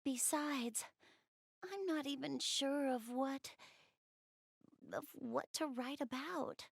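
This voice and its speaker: A woman's voice: high voice, takes it slowly, vocal fry, no room sound, studio quality, exaggerated voice. A woman voicing a shy, bookish young goddess in a video game, speaking hesitantly and earnestly. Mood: dejected, pensive. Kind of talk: video game character voice acting, intimate one-to-one dialogue.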